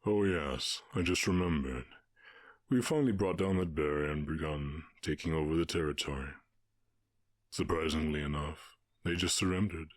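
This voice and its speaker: Man playing a very deep, husky orc warchief. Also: dry recording. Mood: measured, commanding. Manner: slow, measured, condescending. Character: commanding orc warchief, honorable, calm, teasing, menacing. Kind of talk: ASMR roleplay acting.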